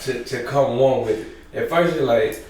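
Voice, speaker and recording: A man's voice: medium pitch, moderate pace, background noise. A man makes self-mocking jokes in casual podcast chat. He sounds distant from the mic in a very echoey room. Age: 30s. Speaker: podcast co-host. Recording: roomy echo, distant mic.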